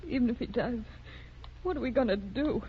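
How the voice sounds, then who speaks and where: Woman acting a distraught young-radio-era wife, halting and tremulous, muffled 1930s recording with faint hiss. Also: on the high side, lightly acted. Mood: despairing. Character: anxious, distraught wife of an injured man. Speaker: radio drama actor.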